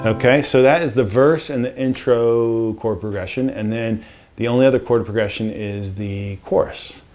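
A man in his forties giving a casual guitar lesson in a home studio, relaxed and rambling with lots of fillers. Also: mid-range voice, normal speed. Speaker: guitar teacher. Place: home music studio, indoors. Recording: guitar strummed under the voice. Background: acoustic guitar playing.

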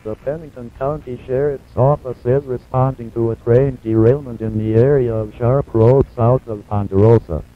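A man's voice playing an impersonal automated emergency announcer, reading warnings in a flat, formal, measured way. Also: mid-range voice, slight background noise, close to an everyday voice. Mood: impersonal, grave. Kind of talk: scripted emergency broadcast warning. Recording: muffled lo-fi VHS broadcast audio.

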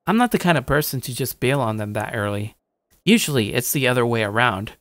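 A man's voice: medium pitch, expressive, a little fast, a bit bright, dry recording, lightly acted. A young man playing a shy but slightly cocky gamer who narrates his day in a casual, musing way, like an anime-style audio drama. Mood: musing, upbeat. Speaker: narrator and lead character. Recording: dry studio voice over music. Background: music.

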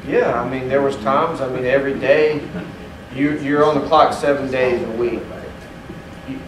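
A man's voice: medium pitch, fairly even intonation, moderate pace, recorded from a distance, background noise. A man on a seafood-industry panel, talking in loose, filler-heavy sentences. He sounds set back from the microphone. The hotel meeting room echoes, and an air conditioner hums behind him. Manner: rambling with many "you know" fillers. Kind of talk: panel Q&A answer. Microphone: distant room mic.

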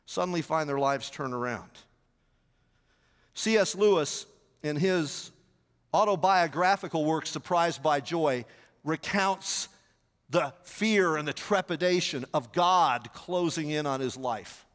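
A middle-aged pastor with a Southern American accent tells a story from the pulpit, his voice pitched high for a man. He moves and gestures across a big church stage, and his words ring out with a long reverb from the hall. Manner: animated, gesturing while pacing the stage. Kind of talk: sermon storytelling. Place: large church auditorium stage.

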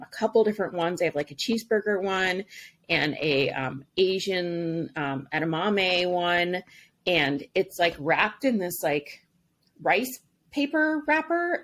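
A woman co-hosting a podcast in relaxed, conversational chat. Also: low voice, breathy edge. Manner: relaxed, conversational, lots of fillers. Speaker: podcast co-host. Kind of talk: casual chat.

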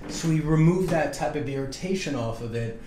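A man's voice: fairly high pitch, animated, a little fast. A man in his fifties, a chiropractor, casually explaining hands-on treatment to a patient as he works. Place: small treatment office, indoors. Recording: echoey room, background noise.

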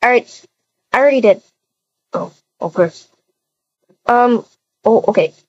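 A woman voicing a bubbly, excitable young woman in a voiced game roleplay, gushing and pleading on a dull lo-fi mic. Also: fairly high pitch, a little slow. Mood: eager.

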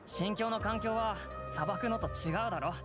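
A man's voice: sits high, moderate pace, loud music behind the voice, lightly acted. A man playing an earnest, frail young brother who wants his independence, in a Japanese anime game. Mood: regretful.

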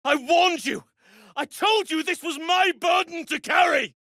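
An actor playing an embattled fantasy spellcaster in a video game, calling out incantations and warnings in a forceful, declamatory way. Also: male voice, very high voice, unhurried, no room sound, studio quality, full character voice. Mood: urgent, strained.

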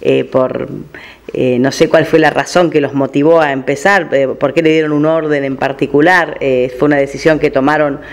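An Argentine man hosting a conversational radio interview, haltingly searching for words as he frames his questions. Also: on the high side, steady tone, vocal fry.

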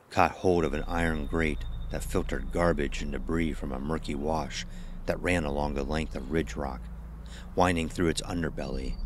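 A man narrating a scripted horror story in a literary, dramatic style. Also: rumbling low. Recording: noisy ambient sound bed.

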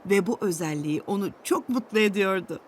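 A woman reading a scripted children's storybook tale with an expressive storytelling delivery. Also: medium pitch, a little fast, slight background noise.

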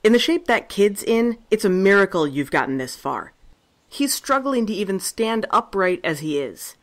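A woman plays a tough survivor woman who is blunt and sardonic. Her speech is tossed-off and profane, with a mid-pitched voice. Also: very animated, moderate pace. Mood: confrontational. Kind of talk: fan audio drama acting.

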